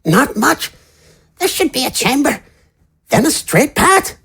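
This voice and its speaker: A frail, nervous old male librarian for a fantasy audio drama. The voice is very high, shaky and squeaky. Its intonation moves up and down a lot. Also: big pitch swings, slow pace, vocal fry, pushed and bright, heavily acted. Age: older.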